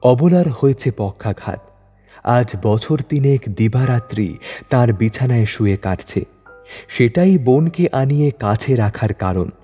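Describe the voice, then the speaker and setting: Mellow, husky male story narrator. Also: mid-range voice, animated, a little slow. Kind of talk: scripted literary story narration. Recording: long reverb over music bed.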